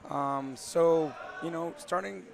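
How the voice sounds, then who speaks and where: A man's voice: a little high, slow, creaky. A man giving rambling, casual interview answers as a team captain, his voice starting to give out. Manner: rambling, peppered with "you know". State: losing his voice. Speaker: esports team captain and player. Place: large indoor gaming event venue. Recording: crowded event venue behind. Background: crowd chatter.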